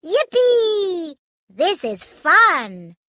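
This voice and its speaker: A woman voicing a small, excitable male cartoon mouse with childlike, exaggerated exclamations. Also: extremely high pitch, very slow, dry recording, studio quality, pitched above the performer's own voice, heavily acted. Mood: gleeful.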